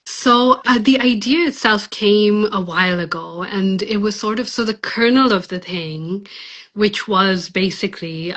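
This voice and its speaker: A woman from Pakistan, a novelist, talking about her writing in a casual interview, thoughtful and hesitant with many fillers. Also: mid-range voice. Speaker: novelist guest.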